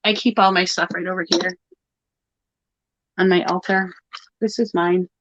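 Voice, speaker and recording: A woman with a New York accent hosting a live stream, chatting casually with quick asides. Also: lowish voice, steady tone, muffled recording, noisy background. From New York area, US. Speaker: tarot reader hosting a stream.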